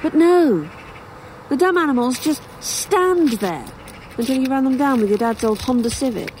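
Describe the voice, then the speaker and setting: A woman's voice: high-pitched, lively intonation, moderate pace, darkish tone. A woman playing a weary, sardonic young writer in an audio drama, with a dry manner. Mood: exasperated. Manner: dry, sarcastic, hesitant. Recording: faintly noisy outdoor sound bed. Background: crows cawing, outdoor ambience.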